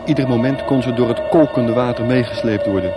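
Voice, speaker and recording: A man's voice: mid-range voice, a little fast. A man narrating a radio play as scripted, dramatic storytelling. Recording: music bed behind. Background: music.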